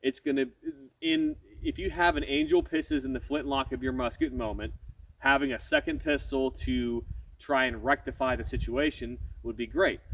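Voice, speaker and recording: A man's voice: mid-range voice, moderate pace, fairly bright tone, far from the mic, slight background noise, low-quality recording. A man with a head cold explaining things casually as a channel host, nasal-sounding and filling gaps with lots of ums and restarts. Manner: frequent "um" fillers and self-corrections. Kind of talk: casual firearms explainer to camera.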